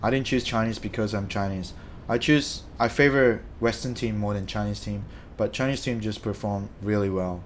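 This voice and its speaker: A man's voice: fairly low pitch, fairly even intonation, normal speed, slight background noise. Chatty Malaysian-born man streaming casually. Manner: rambling, self-correcting, chatty. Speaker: streamer answering chat. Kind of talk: casual live-stream chat.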